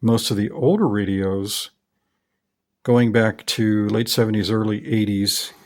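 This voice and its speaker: Low-voiced man explaining his hobby. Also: a little slow. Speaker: radio hobbyist presenter. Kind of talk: casual explanatory demonstration.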